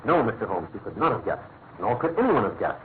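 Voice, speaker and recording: On a thin, phone-like radio recording, an anxious British government minister. His formal, earnest speech runs fast and swings expressively in pitch, in classic radio play manner. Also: big pitch swings. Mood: agitated, insistent. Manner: rapid, earnest, formal, emphatic. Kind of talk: classic radio drama acting. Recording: thin narrow-band old radio recording, faint noise.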